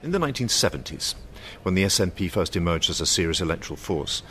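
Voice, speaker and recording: Low-pitched man, faint background noise. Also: sing-song, fast talker, pushed and bright. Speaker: commentator giving analysis. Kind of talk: explanatory interview talk with acted-out quotes.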